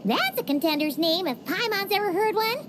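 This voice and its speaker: A woman's voice: very high voice, animated, brisk, heavy background noise. A woman voicing a tiny, chatty and blunt female fairy companion who teases and talks about herself in the third person. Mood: chatty, teasing.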